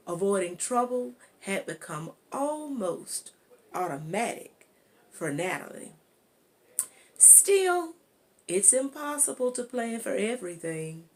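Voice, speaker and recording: Slow-reading woman narrating a children's story. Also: medium pitch. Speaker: amateur audiobook reader. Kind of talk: scripted narration, reading a children's chapter book aloud.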